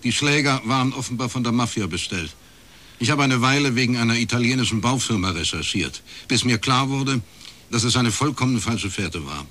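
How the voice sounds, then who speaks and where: An actor playing a laconic private detective in a radio crime drama, with a husky voice and a measured, dry way of telling things. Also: male voice, mid-range voice, expressive, a bit bright, natural voice. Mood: matter-of-fact, pressing. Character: laconic male East Berlin academic turned private detective.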